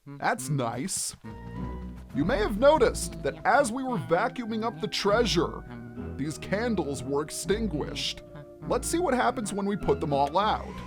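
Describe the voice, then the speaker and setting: A gaming video host giving chatty, expressive let's play commentary and explaining things clearly as they go. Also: medium pitch, a little slow. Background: game music.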